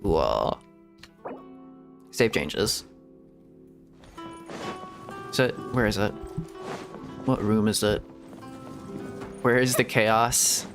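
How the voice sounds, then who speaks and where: A man's voice: fairly low pitch, animated, very slow, long pauses, music in the background. A man streaming a game, rambling to himself in casual commentary and giggling often. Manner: rambling self-talk with frequent giggles. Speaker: VTuber streamer.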